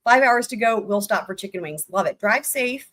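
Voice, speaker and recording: A woman hosting a livestream in casual chat, rambling with many fillers and greeting viewers by name with upbeat shout-outs. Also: mid-range voice, expressive, moderate pace. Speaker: livestream host.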